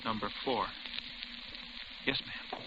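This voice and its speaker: An actor playing a polite, nervous, secretly suspicious cafe counterman in a halting, deferential manner, in old-time radio suspense. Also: fairly low pitch, steady tone. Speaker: supporting character. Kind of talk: 1940s-50s American radio suspense acting. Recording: dull, noisy old radio recording.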